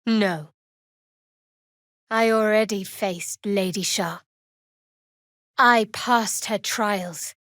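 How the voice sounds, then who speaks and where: A woman playing a guarded, sardonic young cleric of a dark goddess in a video game, with dry, clipped delivery. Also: mid-range voice, very slow, pauses often, no room sound. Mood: solemn, proud. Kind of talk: video game character dialogue.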